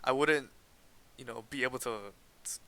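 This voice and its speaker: Bright, crisp-voiced teenage boy chatting. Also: mid-range voice, normal speed. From Canada. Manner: hesitant, restarts often, laughs while explaining. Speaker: podcast co-host. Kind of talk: casual chat.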